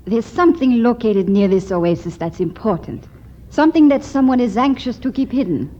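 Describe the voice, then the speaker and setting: An eager, impressionable young daughter in an old radio serial. Her voice is high and swoops with expressive emphasis. The recording is dull and muffled. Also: moderate pace. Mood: alarmed, intent. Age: young adult. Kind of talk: 1950s radio adventure acting.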